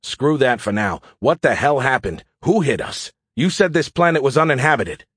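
A man's voice: mid-range voice, lively intonation, normal speed, fairly bright tone, slightly rough, dry recording, studio quality, pitched above the performer's own voice. A man playing a stubborn, sullen male military pilot in an audiobook, sarcastic and indignant but dutiful. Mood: indignant, urgent. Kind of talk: audiobook character acting.

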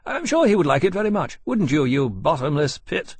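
Man putting on a breezy, high-pitched courier captain. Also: sing-song, a little fast, slightly rough, dry recording, heavily acted. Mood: warmer, affable. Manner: breezy, forthright. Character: brisk male dragon-courier captain, blunt but affable.

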